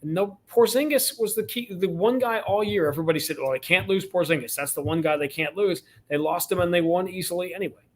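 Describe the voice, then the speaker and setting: A man, a radio play-by-play announcer, giving emphatic sports analysis as a guest, with quick restarts. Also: high voice. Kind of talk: casual sports interview.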